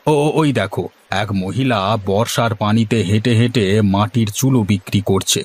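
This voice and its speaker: A man's voice: on the low side, normal speed, darkish tone, slightly rough. A man voicing soothing, persuasive village men who explain and advise, in a Bengali fairy-tale cartoon. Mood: coaxing. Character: placating village husband and kindly advising doctor. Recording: music under the voice.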